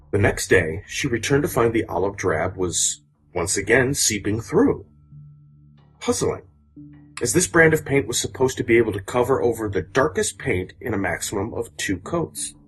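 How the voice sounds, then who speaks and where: Expressive low-voiced man telling a story. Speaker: storyteller, host of the show. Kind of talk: dramatic scripted personal storytelling. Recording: voice over a music bed. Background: background music.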